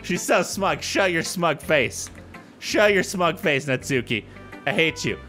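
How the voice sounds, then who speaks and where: A man's voice: fairly high pitch, expressive, a little slow, sharp tone. A man giving casual let's-play commentary and reading visual novel dialogue aloud. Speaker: gaming video host. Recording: game music under the voice. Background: game music.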